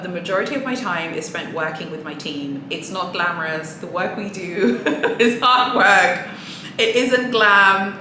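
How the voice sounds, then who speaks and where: A woman's voice: medium pitch, echoey room, background noise. A woman talking straight to her viewers in a casual, earnest, motivational way, with small laughs and restarts as she gives advice. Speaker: luxury handbag vlogger. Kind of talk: casual to-camera advice talk.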